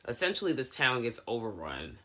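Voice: medium pitch, wildly swooping pitch, fairly quick, fairly bright tone. A podcast host chatting casually about horror films, laid-back and swearing freely.

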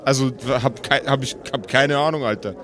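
A man's voice: mid-range voice, moderate pace, fairly bright tone, a little hiss or hum, lightly acted. A man voicing a laid-back, dim male orc party bro who rambles in slang for a tabletop game. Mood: laid-back, rambling. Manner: slangy, stoner-like drawl, repeats himself.

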